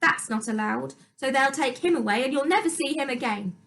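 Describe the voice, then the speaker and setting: A woman's voice: a little fast, creaky, fairly bright tone. A woman voicing a spiteful, petulant stepmother with a whiny voice in a dramatic storybook reading. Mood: vicious, threatening. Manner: petulant, shrill outbursts.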